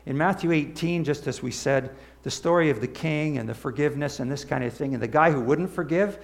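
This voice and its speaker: A man's voice: mid-range voice, creaky. A man speaking as a pastor in a conversational sermon, checking in with his listeners. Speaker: pastor leading a church service.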